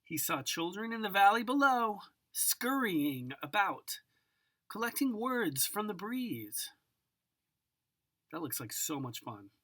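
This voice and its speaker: A woman giving an expressive children's picture book read-aloud, savoring words dramatically and speaking directly to the listener. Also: medium pitch. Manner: savors single words with drawn-out, dramatic delivery and occasional whispers. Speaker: storybook reader.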